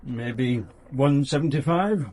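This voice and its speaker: An actor playing a shifty, sardonic shopkeeper in a radio crime drama, terse, evasive and insolent. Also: male voice, medium pitch, takes it slowly, a little mellow. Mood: grudging.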